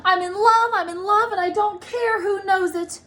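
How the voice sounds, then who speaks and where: A woman voicing a sweet, excitable grown male Christmas elf in an earnest children's storybook read-aloud. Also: very high voice, normal speed, pitched above the performer's own voice. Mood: excited. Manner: exclamatory, earnest.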